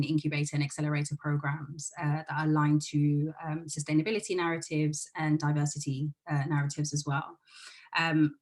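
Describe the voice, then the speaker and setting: A woman from the UK introducing herself on a panel as an angel investor, talking in a measured, reflective way with many fillers. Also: sits low.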